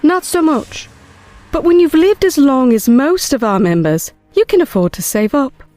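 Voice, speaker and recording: An actress playing a brisk, wry, long-lived woman who retrieves lost books in an audio drama, with a clipped, assured delivery. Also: high voice, very animated, mellow, dry recording, soft background music. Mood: dry, assured. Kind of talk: full-cast audio drama acting.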